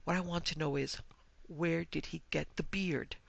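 A man's voice: fairly high pitch, steady tone, moderate pace, creaky, no room sound, dull audio. A man voicing a sobbing, agitated, indignant married woman at a party in a dramatic story reading. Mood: tearful indignation. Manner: weeping, breathless, agitated.

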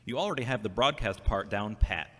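A man's voice: fairly low pitch, brisk, fairly bright tone. Man acting a wry, guarded guy, echoey. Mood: dry, reserved. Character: wry, guarded, reflective man, dryly formal. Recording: echoey hall-like reverb.

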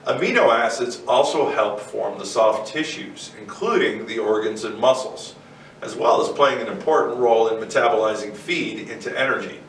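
Measured man explaining in a roomy studio. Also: lowish voice, expressive, a bit bright. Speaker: co-host. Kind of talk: scripted educational explainer. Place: indoor studio set with barn-wood backdrop. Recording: roomy echo, distant mic, faint noise.

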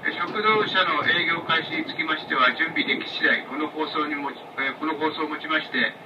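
A man's voice: steady tone, a little fast, lo-fi sound. A man working as a train conductor makes a formal, polite announcement over the onboard public-address system. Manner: formal, polite, reading out stops and times. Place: inside a moving sleeper train, over loudspeaker. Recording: distant, noisy train PA captured in carriage. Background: train rumble and noise.

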